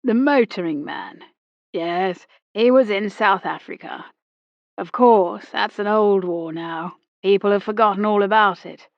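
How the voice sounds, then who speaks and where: A woman voicing an old British army captain, brusque, with a clipped, slow and emphatic manner. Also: medium pitch, creaky, dry recording, highs cut off. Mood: blustering.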